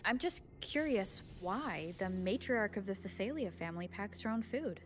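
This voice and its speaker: A woman as a calm, inquisitive young traveler. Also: mid-range voice, normal speed, close to an everyday voice. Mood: curious, serious. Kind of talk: video game voice acting.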